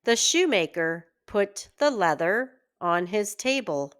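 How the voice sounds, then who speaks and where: A woman reading a children's fairy tale aloud in an expressive, deliberate storytelling manner. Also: medium pitch, clean tone. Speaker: children's story reader, teacher.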